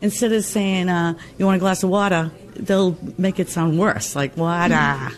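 A man's voice: sits high, steady tone, normal speed. A man chatting casually with a reporter and imitating how actors talk. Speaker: interviewee.

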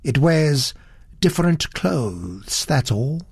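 A man voicing a cautious elderly gentleman who stammers and apologises in an audiobook story. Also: fairly high pitch, animated, measured pace, dry recording, pitched above the performer's own voice. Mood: weary, reflective. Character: fussy, cautious, hesitant elderly English gentleman.

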